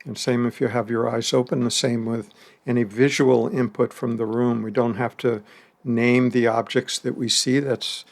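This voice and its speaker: A man leading a guided meditation, speaking slowly and gently with long pauses and the odd soft laugh. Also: medium pitch, level tone, creaky. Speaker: meditation teacher.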